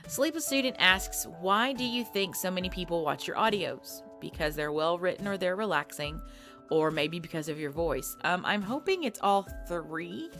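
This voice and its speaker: A woman who makes ASMR audio, answering viewer questions in a soft, thoughtful casual talk with frequent little restarts. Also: on the low side, lively intonation, normal speed. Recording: faint background music.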